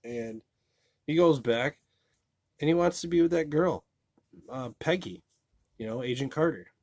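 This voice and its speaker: A man's voice: a little high, lively intonation, a little slow, a little mellow, dry recording, clean studio sound. A man giving a casual, rambling movie review, full of fillers like "you know" and restarts. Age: adult.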